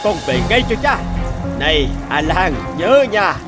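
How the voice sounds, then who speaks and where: A man's voice: high-pitched, very animated, a little slow. A scheming, wealthy older landlord from a cartoon folktale, acted by a man. He mocks and commands with menace, and his speech swings widely over background music. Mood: commanding. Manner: mocking, commanding, threatening. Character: arrogant, scheming rich older male landlord, menacing father. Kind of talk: dubbed folktale cartoon acting.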